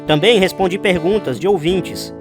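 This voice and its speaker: Earnest missionary husband male actor, Brazilian accent, slightly high voice, sincere radio-testimony storytelling over clear music. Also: normal speed, close to an everyday voice. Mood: reflective narration. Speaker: narrator and lead character. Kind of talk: dramatized radio testimony, scripted narration and dialogue.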